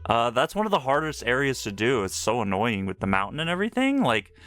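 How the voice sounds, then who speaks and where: A male game streamer chatting casually as he plays. His voice is bright and forward, with animated swings in pitch and a reactive, slangy manner. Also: fast, no echo, soft background music. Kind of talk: casual live playthrough commentary.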